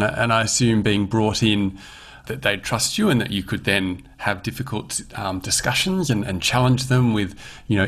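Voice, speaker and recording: Man, interviewer, somewhat low voice, winding questions, appreciative. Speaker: interviewer, host.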